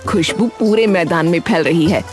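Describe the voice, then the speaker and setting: A woman's voice: on the low side, moderate pace, over music. A woman narrating a scripted cartoon story.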